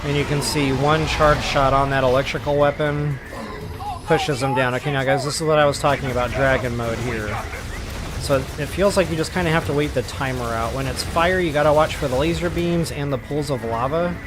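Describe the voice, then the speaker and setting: A man's voice: medium pitch, fairly quick. A man giving casual gameplay walkthrough commentary, talking straight to his viewers as a channel host. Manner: casual, talks directly to viewers. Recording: game music behind the voice.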